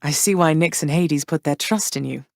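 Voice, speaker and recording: Gentle, motherly underworld queen for a game; low-pitched, warm, gracious, dry close studio read. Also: moderate pace, vocal fry, no echo. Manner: warm, gracious, softly reassuring. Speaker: game character. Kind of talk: game voice lines.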